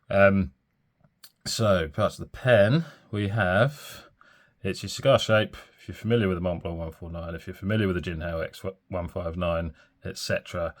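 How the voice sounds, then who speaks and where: A man reviewing a fountain pen in a chatty, rambling way, full of ums and small corrections, a little throaty and bunged up from a cold. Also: sits low. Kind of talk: casual product review.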